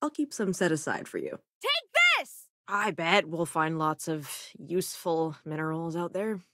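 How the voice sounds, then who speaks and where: Sardonic young scientist crewmate, video game companion, wry, confident, casual, animated intonation, dry studio sound. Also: female voice, on the low side, unhurried, no echo, full character voice. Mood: battle-fired. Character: sardonic, clever renegade scientist woman, spaceship crew companion.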